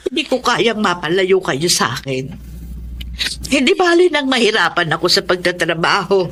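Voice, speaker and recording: A woman's voice: lowish voice, very animated, normal speed, noisy background. Emotive, protective old grandmother. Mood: tender, resolute. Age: older. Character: devoted, protective old grandmother who sells fish. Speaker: voice actor in radio-style drama. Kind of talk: Filipino radio drama acting.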